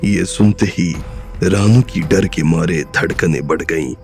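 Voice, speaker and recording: A man narrating an audio drama in a steady, scripted storytelling manner. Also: low voice, unhurried, gravelly, faint music behind.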